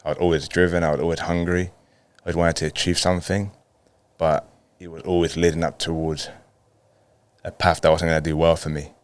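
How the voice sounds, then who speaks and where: A man's voice: sits low, level tone, brisk, clear, resonant tone. A man in his thirties from Colombia, a native Spanish speaker, telling personal stories casually as an interview guest. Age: 30s. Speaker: podcast guest, footballer.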